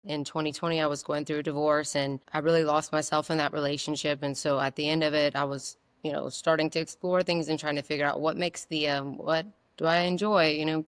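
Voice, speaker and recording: Raspy woman, flat delivery. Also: sits low, a little fast, hardly any pauses, no echo. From South Louisiana. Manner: open, rambling, many fillers. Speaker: singer-songwriter guest. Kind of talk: casual interview answers.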